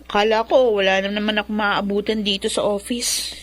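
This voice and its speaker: A woman's voice: mid-range voice, steady tone, moderate pace, natural voice. A woman acting in a Filipino radio drama as a doting but skeptical young daughter who teases. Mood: sweet, teasing.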